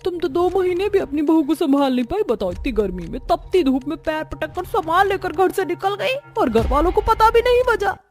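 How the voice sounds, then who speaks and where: A woman's voice: extremely high pitch, lively intonation, normal speed, hardly any pauses, pitched above the performer's own voice. A woman voicing a gossipy, taunting neighbour in a cartoon story with exaggerated delivery. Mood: mocking.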